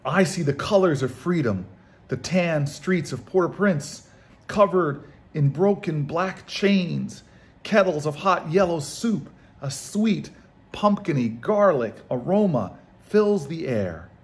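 A man voicing an eager, curious young granddaughter who narrates a storybook in an expressive, wide-eyed way. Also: a little high, slow, voice pushed up. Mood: excited.

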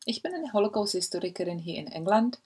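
A woman's voice: medium pitch, sing-song, fast talker, a little mellow, breathy edge. A woman in her forties from the Republic, a historian speaking German as an in a reflective, earnest personal statement with frequent hesitations, recorded on a laptop webcam in a home study. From Czech Republic. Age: 40s. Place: home study lined with bookshelves. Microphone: laptop webcam mic.

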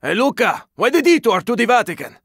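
A man playing a gruff, weary, sardonic squad fighter in a zombie video game, speaking in terse lines with a raspy voice. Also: extremely high pitch, very animated, brisk, fairly bright tone, no room sound, studio quality, exaggerated voice. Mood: tense, alert. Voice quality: raspy, gravelly. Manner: terse, urgent, swearing. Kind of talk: video game character combat barks.

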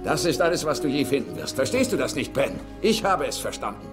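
A man acts out a skeptical older father in a film drama. He grumbles dismissively, with animated intonation, weary of it all. Film music runs clearly behind him. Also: medium pitch, a little fast, lightly acted. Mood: resigned, exasperated. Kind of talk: German film dub acting. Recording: film soundtrack with music underneath.

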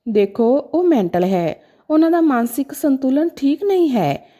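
A woman's voice: sits high, big pitch swings, measured pace, mellow. A woman voicing a well-meaning male doctor in a story, formal and cautionary, giving insistent advice. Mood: insistent, concerned.

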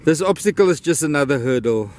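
A man's voice: on the high side, lively intonation, fast, darkish tone, slight background noise. A South African man with an Afrikaans accent, casually narrating a vlog while walking his dog on a beach trail, rambling and grateful. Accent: Afrikaans-accented English. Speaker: vlogger. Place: outdoors on a beach and a wooden boardwalk trail.

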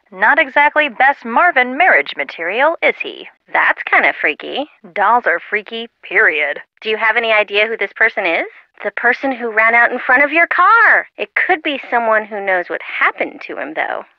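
A woman's voice: on the high side, no room sound. An actress voicing a breezy, sardonic teenage American girl gossiping on a phone call in a video game. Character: chatty, teasing teenage American girl, loyal friend.

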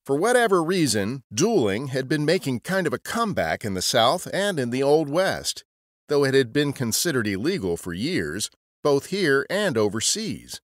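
A man's voice: medium pitch, sing-song, normal speed, no echo, clean studio sound. A man narrating scripted history as a podcast storyteller. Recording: guitar music under the voice. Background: guitar music.

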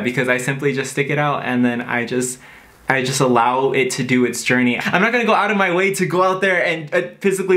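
Chatty young man, drawn-out words. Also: medium pitch. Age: 20s. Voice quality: lighthearted, drawn-out words, says "like" constantly. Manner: animated, gestures with hands while talking. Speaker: vlogger. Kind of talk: casual opinion vlog to camera. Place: bedroom with posters, indoors.

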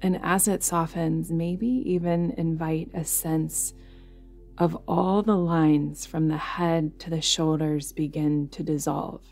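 Low, even-pitched woman guiding meditation. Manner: soothing, unhurried instruction with pauses. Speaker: meditation guide. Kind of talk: guided yoga nidra meditation narration. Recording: music behind the voice. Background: music.

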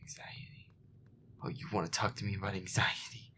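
A man playing a feisty, profane young werewolf boyfriend muttering jumpily through a scary video game in a breathy, hushed voice. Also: deep voice, level tone, brisk, whispered, lightly acted. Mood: irritated muttering. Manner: profane, irritable, jumpy muttering. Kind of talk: improvised-sounding gaming commentary, audio roleplay.

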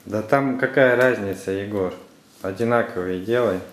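A man's voice: fairly low pitch, moderate pace. A man talking casually as a father, prompting and instructing children through a craft at home. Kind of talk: casual family chat. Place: indoor room at home. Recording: roomy echo, faint background noise.

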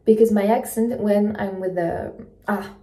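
A woman chats casually to camera, haltingly searching for words in English. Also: mid-range voice, steady tone, warm tone. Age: 20s. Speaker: travel vlogger. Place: small indoor room at night. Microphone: phone propped in front of her. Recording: echoey small room.

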